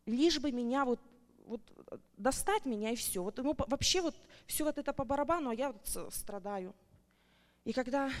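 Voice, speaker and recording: Emotional woman giving testimony in a hall. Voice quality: animated and heartfelt, pitch rising high when excited. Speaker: church member giving testimony. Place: church retreat meeting hall. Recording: long reverb of a large hall.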